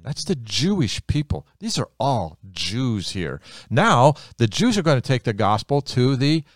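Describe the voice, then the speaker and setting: A man who grew up in America, teaching the Bible in a relaxed conversation, animated and emphatic, often repeating phrases for effect. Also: mid-range voice, measured pace, no room sound, studio quality.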